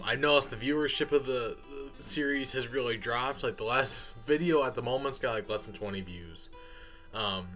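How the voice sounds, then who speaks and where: A man's voice: medium pitch, pushed and bright. A man giving a casual, unscripted update as a channel host, talking informally in a folksy way and addressing viewers as y'all.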